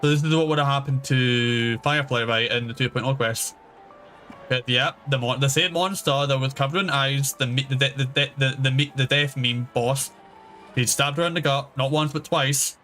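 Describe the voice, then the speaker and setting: A man's voice: mid-range voice, fairly even intonation, normal speed, bright, forceful tone, faint music behind. A man giving casual live game commentary, rambling and thinking aloud as he goes. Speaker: streamer.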